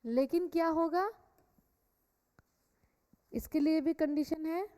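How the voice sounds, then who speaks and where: A woman's voice: high-pitched, slow, dark tone. An Indian woman teacher lecturing a class in an instructional way, repeating key points and checking that students follow. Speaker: teacher of a company law class. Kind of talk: classroom lecture mixing Hindi and English. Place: classroom with students also attending online. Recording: echoey hall-like room reverb.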